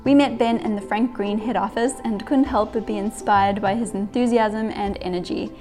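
A woman co-host reading a measured, scripted podcast introduction. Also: mid-range voice, steady tone, darkish tone, soft background music.

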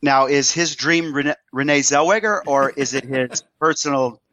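A man from Iowa, a podcast guest, whose voice is hoarse, chatting casually and telling stories in an animated, joking way. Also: medium pitch, normal speed, no echo. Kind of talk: casual chat.

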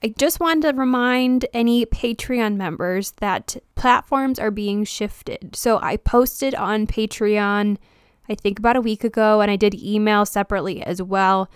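A woman's voice: mid-range voice, a little slow, clear, resonant tone, no room sound, clean studio sound. A woman hosting a solo podcast, talking in a chatty, informal way with frequent fillers. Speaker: houseplant podcast host. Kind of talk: casual solo podcast talk.

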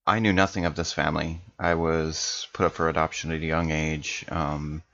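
Male tabletop player, low register, measured casual roleplay, dull lo-fi sound. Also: level tone, fairly bright tone.

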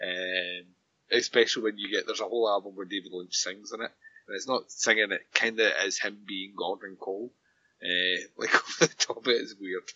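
A slightly hoarse man co-hosting a podcast, chatting casually about TV, rambling and often laughing, recorded on a home microphone. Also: lowish voice, steady tone, fairly quick, pushed and bright, no room sound, dull audio. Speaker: podcast co-host. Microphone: better home microphone.

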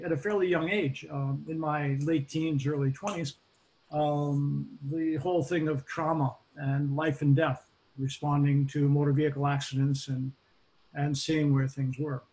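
Man, 60s, boston accent, slightly high pitch, hesitant measured talk, interview guest. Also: low-quality recording. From Boston, United States. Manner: measured and hesitant, many ums and restarts. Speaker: guest, occupational safety expert. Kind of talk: casual interview.

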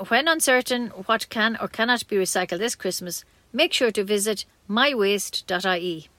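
A woman reading a local community radio news bulletin in a measured, friendly way, with small slips now and then. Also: medium pitch, fairly bright tone, no room sound. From Ireland.

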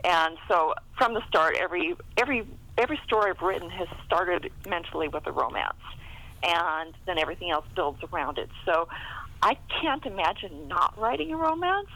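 A woman's voice: lowish voice, animated, moderate pace, bright, forceful tone, rough recording. A middle-aged woman chatting casually as a novelist being interviewed, often chuckling as she talks. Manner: chuckles often while talking. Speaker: guest, romance novelist.

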